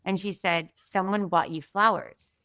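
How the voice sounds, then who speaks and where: A woman guest telling a story in a casual, animated way, full of fillers and asides, from Israel. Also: mid-range voice, measured pace, clean tone, dry recording. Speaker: podcast guest.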